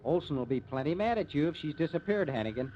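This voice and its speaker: An actor playing a brisk, inquisitive and level-headed newspaper reporter, in 1950s radio drama style. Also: male voice, a little high, lively intonation, a little mellow, lightly acted. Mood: brisk, probing. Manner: brisk, probing. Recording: muffled, noisy old radio recording.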